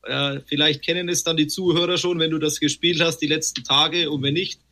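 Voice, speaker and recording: A man from a band, a singer and guitarist, chatting in a relaxed, joking way during an interview. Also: fairly high pitch, a little fast. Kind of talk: casual interview chat.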